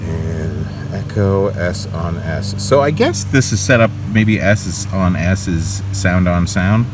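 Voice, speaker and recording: A man's voice: low voice, animated, slow pace. A man giving a casual, wry gear tour, thinking aloud with self-questioning asides as a hobbyist vlogger. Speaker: vlogger and video transfer hobbyist. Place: outdoors in a backyard, at a patio table. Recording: clear outdoor ambient noise.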